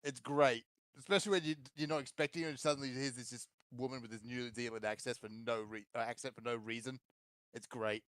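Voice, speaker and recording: A man on a gaming podcast, making teasing jokes. The recording is dry and close, and his voice sits at a middle pitch. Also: fairly even intonation, quick pace, a bit bright, no room sound. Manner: joking, teasing. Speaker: podcast co-host. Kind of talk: casual chat.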